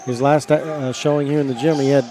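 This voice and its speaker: A man's voice: a little high, steady tone, a little fast, background noise. A man giving conversational, analytical color commentary on a basketball game.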